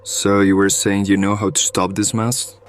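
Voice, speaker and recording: A man's voice: fairly low pitch, little pitch movement, normal speed, slight background noise, natural voice. A man acting in an audio drama as a composed, protective leader of a band of survivors, giving directions steadily.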